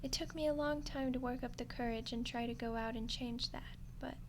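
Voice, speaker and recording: A woman plays an undead skeleton girl with a fairly high voice in an ASMR audio roleplay. She is lonely and friendly, rambling in a casual, awkward way straight to the listener. There is clear background noise around her. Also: steady tone, fairly quick, lightly acted. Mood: wistful, sheepish.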